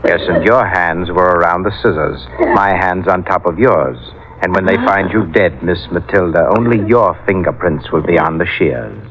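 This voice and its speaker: An actor playing a menacing, falsely polite murderous servant, formal and insinuating, in an old radio drama. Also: male voice, low-pitched, moderate pace, hardly any pauses, crowd noise. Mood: quietly menacing. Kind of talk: 1950s radio drama acting. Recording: dull, noisy old radio recording.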